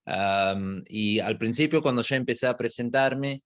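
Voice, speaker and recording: A man, an imam, with a foreign accent partly shaped by Argentine Spanish, speaking in a measured, thoughtful way with frequent hesitation sounds and restarts as he recounts a personal experience. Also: medium pitch. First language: not Spanish.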